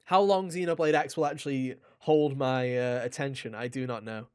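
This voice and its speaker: A man's voice: mid-range voice, steady tone, normal speed, a little mellow, clear, resonant tone, no echo, studio quality. Blunt, rambling young man chatting about games. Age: young adult. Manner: opinionated, blunt, swears; rambling, anecdotal storytelling. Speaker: podcast host. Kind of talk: casual gaming podcast chat.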